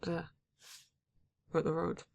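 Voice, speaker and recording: Someone doing a grumpy reluctant werewolf, super creaky voice, kinda flat, sweary and fed up, asmr audio drama. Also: medium pitch, no room sound. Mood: reluctant, weary. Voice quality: husky, gravelly. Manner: exasperated, sighing, swearing, halting delivery. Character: grumpy, reluctant werewolf, guarded but not cruel. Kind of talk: ASMR roleplay audio drama, intimate monologue.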